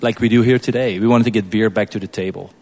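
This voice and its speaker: A man from Belgium, speaking as a guest brewer in an informal question-and-answer session, in a casual, halting way. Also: lowish voice, steady tone, darkish tone.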